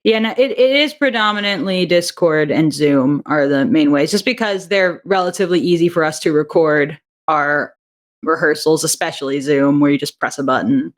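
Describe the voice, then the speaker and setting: A woman's voice: low voice, normal speed. A woman, an audio drama writer and director, talking casually in an interview, chatty with frequent restarts and easy laughter.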